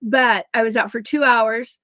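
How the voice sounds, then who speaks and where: A woman's voice: fairly high pitch, steady tone, a little slow, bright, forceful tone, no room sound. Chatty woman quilting teacher, slightly scratchy. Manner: chatty, digressive, self-correcting. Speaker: quilting instructor. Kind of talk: chatty sewing tutorial. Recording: dull lo-fi dry sound.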